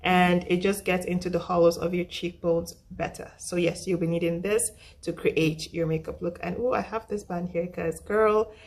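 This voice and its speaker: A young woman talks through her makeup brushes in a relaxed tutorial. Her voice is husky and roughened by a flu she is getting over, and it sits a little low. She is chatty and self-deprecating, and she circles back to repeat her points. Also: normal speed. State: recovering from flu. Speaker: makeup vlogger. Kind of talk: casual makeup tutorial talk.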